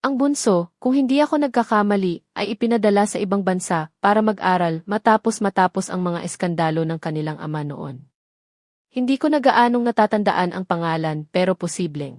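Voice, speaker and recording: A woman's voice: mid-range voice, lively intonation, brisk, a little mellow, no echo, studio quality, lightly acted. Composed older Filipino matriarch read by a woman. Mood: thoughtful. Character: older society matriarch, composed, knowing mother-in-law.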